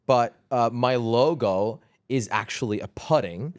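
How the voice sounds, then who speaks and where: A man's voice: medium pitch, a little slow, dry recording. Dutch man chatting in a dry room. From southern Netherlands. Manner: relaxed, chatty, frequent fillers. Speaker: guest, gaming creator. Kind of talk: casual podcast interview.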